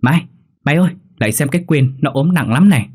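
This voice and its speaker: A man's voice: fairly low pitch, moderate pace, a little mellow, breathy edge. A man voicing a blunt but caring young female student in a horror story, bossy and colloquial, fussing over her roommate. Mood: alarmed.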